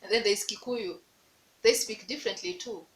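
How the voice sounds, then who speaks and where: A woman's voice: mid-range voice, moderate pace. A young Kenyan woman with a Luo accent, talking straight to camera in a casual vlog and teasing the viewer with repeated rhetorical questions. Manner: playful, repetitive rhetorical calls to the viewer. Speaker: vlogger. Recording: echoey room.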